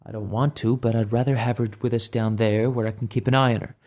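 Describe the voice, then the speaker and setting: A calm, reassuring male team leader with a medium-pitched voice, explaining plans in a measured audio drama style, acted by a man. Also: lightly acted. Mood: resigned, cautious. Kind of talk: amateur audio drama acting.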